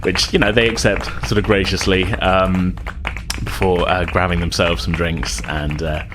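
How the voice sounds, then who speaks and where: A man's voice: deep voice, level tone, normal speed, noisy background. A man working as game master, narrating improvised scenes in a casual, loose conversational way.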